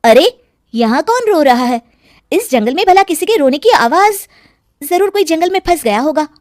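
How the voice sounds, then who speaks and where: Woman doing a sweet innocent village girl in a cartoon, really high voice, earnest and concerned. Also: very animated, normal speed, a little mellow, no echo, studio quality. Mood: curious, concerned.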